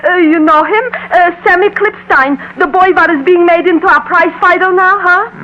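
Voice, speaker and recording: High-voiced, fretting New immigrant mother. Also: moderate pace. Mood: fretful. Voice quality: high-pitched voice. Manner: inverted, foreign-flavoured syntax, plaintive. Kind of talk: old-time radio drama acting. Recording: dull, noisy vintage radio recording.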